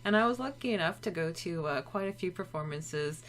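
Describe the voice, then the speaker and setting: A woman from Montreal speaks as a podcast co-host. Her voice sits a little low for a woman. She chats casually, in a gushing and giggly way. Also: lively intonation, brisk, a bit bright. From Montreal, Canada. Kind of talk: casual chat.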